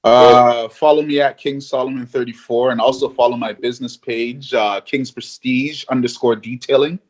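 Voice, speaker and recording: A man's voice: mid-range voice, steady tone, normal speed. A man speaking casually as a guest panelist on a podcast. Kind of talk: casual chat.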